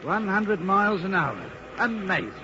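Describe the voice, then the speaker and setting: A visiting government minister in a 1950s radio play, inquisitive and well-mannered. The voice is fairly high with animated intonation. It comes through a muffled old broadcast with faint hiss. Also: male voice, moderate pace. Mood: intrigued. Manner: courteous, inquisitive, easily amazed. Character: polite, curious visiting Australian government minister. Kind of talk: 1950s radio drama acting.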